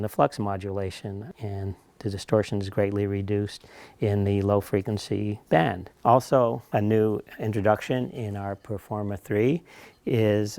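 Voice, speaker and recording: A man with a fairly low voice explains technical details as an engineer would. His intonation stays flat and his delivery is halting. The recording is dry and close, with very little room sound. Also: no room sound. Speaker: loudspeaker engineer.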